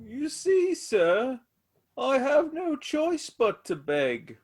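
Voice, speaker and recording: Meek ragged beggar, grateful and pleading, high voice, slow, played by a woman. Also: very animated, a little mellow, soft background music, heavily acted.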